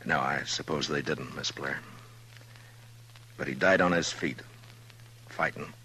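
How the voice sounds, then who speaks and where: A man's voice: bright, forceful tone, heavily acted, rough recording. A man playing a grave, stoic Old West marshal in a radio western, speaking tersely and with restraint. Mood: grave, gentle. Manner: terse, low-key, halting when breaking bad news. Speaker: radio drama actor. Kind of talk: 1950s radio western acting. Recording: old radio recording with faint noise, hum.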